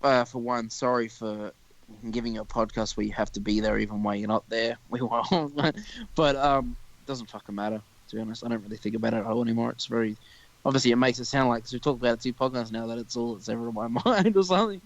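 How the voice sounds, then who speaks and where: A man's voice: mid-range voice, fast, lo-fi sound. A young man hosting a podcast, chatting casually in a rambling way and swearing freely. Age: young adult. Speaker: podcast host. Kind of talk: casual chat.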